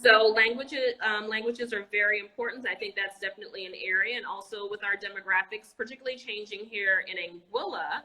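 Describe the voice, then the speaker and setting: A woman with a bright, clear voice gives an enthusiastic interview as an educator. She talks casually over a video call, often pausing on "um" and restarting her sentences. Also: medium pitch, steady tone, rough recording. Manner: enthusiastic, frequent "um" fillers and restarts. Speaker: guest, educator and entrepreneur. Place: Anguilla.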